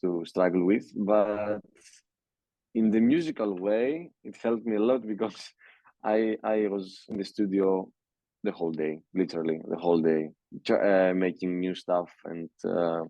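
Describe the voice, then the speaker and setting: A young man from Greece speaks accented English in a low, mellow voice. He hesitates and restarts his sentences often, filling gaps with "uh". The sound is dry and dull, like lo-fi call audio. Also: no room sound. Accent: Greek. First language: Greek. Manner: halting, many fillers and restarts. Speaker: music producer, guest. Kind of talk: casual interview.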